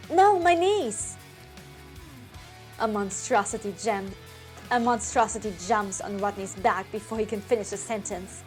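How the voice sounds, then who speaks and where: A woman's voice: mid-range voice, little pitch movement, moderate pace. A woman storyteller reading a scripted tale in a theatrical, suspenseful manner. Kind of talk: dramatic scripted story narration. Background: music.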